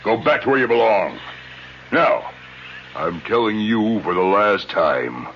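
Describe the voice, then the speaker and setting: A man playing a brutal, greedy, bullying villain, gruff and commanding, in old-time radio drama style. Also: lowish voice, very animated, unhurried. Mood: menacing, angry. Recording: muffled old radio recording, faint noise.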